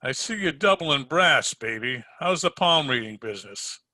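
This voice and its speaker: A man's voice: medium pitch, expressive, normal speed, bright, forceful tone, no echo. A man playing a wisecracking, sardonic private detective in an old-time radio drama, slangy and needling. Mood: teasing. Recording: home recording over video call.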